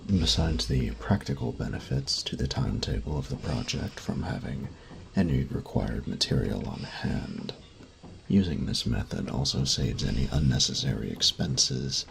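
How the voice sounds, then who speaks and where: A man playing a grumpy, fastidious steampunk artificer-mage in an audio roleplay, speaking formally and precisely with pedantic, halting phrasing. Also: extremely low pitch, vocal fry, a bit bright, full character voice. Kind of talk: scripted roleplay audio drama, addressing the listener.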